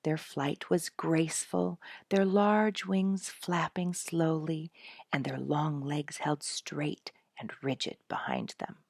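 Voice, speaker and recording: A woman's voice: deep voice, steady tone, slow pace, vocal fry, darkish tone, dry recording, studio quality. A woman storyteller reading a scripted nature narrative aloud.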